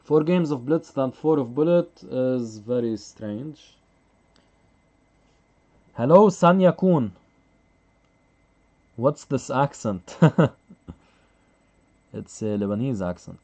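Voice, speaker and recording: A man's voice: mid-range voice, lively intonation, slow, pauses often, darkish tone. A man streaming live chess commentary in a casual way, chuckling often and answering his chat.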